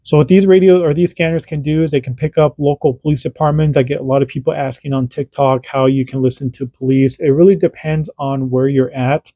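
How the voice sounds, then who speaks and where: A man's voice: medium pitch, fairly even intonation, fairly quick, few pauses, dark tone, dry recording. A man and parent, hosting a casual hands-on radio demo and explaining in an informal, rambling way that often restarts sentences. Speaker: radio hobbyist host.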